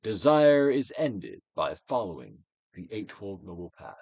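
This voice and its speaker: A man with a low voice reads aloud like a stage actor giving a dramatic recital. His delivery is solemn and declamatory, with wide swings of intonation. The sound is close and dry, with very little room around it. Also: sing-song, measured pace, a little mellow, breathy edge, no echo. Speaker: actor reading a book aloud. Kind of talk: dramatic audiobook reading, theatrical Shakespearean delivery.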